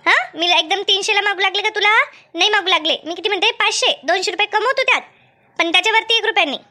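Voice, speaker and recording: A woman's voice: very high voice, fast talker, pushed and bright. A woman voicing a hard-bargaining village mother in a comedy cartoon, argumentative, firm and chatty. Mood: firm haggling. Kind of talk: Marathi comedy cartoon dub.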